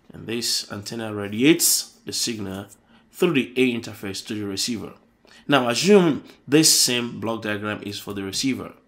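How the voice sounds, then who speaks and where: Guy walking thru circuit diagrams, low gravelly voice, stops n corrects himself a lot. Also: a bit bright. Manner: halting, self-correcting explanation. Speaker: electronics tutorial host.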